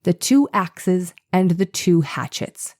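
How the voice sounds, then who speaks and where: A woman's voice: on the low side, very animated, takes it slowly, a little mellow, heavily acted. A woman playing a probing, insistent male defence attorney in a courtroom drama, questioning formally and pointedly. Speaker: actor playing a defence lawyer. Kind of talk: dramatised court transcript reading.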